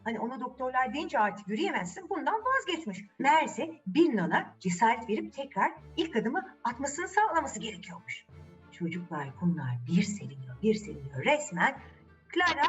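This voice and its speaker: A woman storyteller narrating a fairy tale for children in a theatrical, expressive way, addressing the young listeners directly. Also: high voice, a little fast, recorded from a distance, faint music behind.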